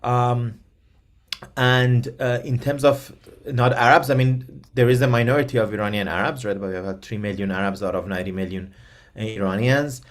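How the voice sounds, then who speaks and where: A man's voice: mid-range voice, fairly even intonation, normal speed. A man from Iran whose first language is Persian, answering interview questions as an expert with frequent ums and restarts. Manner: hesitant, many ums and restarts. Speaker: guest expert.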